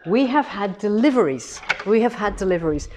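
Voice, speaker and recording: A woman with a head cold, slightly hoarse, hosting a rambling, cheerful live-stream chat from a large quilting showroom. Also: mid-range voice, expressive, brisk, noisy background. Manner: chatty and cheerful, rambling with many ums. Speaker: quilting shop owner hosting a live demo. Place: large quilting showroom with longarm machines, indoors. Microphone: camera on a tripod a few metres away.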